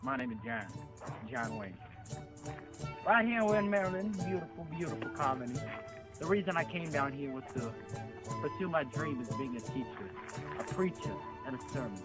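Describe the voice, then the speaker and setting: A man playing an earnest, over-emotional colonist preacher in an amateur skit, speaking in a halting, fervent way. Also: fairly high pitch, sing-song, over loud music, low-quality recording. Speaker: testimonial character. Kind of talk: amateur comedic skit testimonial.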